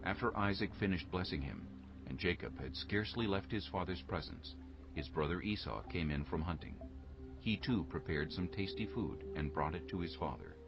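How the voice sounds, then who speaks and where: A man's voice: low-pitched, lively intonation, moderate pace, gravelly. A man giving scripted narration of an audio Bible story as its narrator. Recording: muffled audio with music behind.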